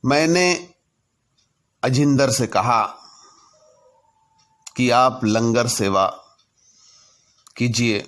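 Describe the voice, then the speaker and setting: A man narrating a personal story in a deliberate way, in short halting phrases. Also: medium pitch, fairly bright tone. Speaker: narrator telling his own anecdotes.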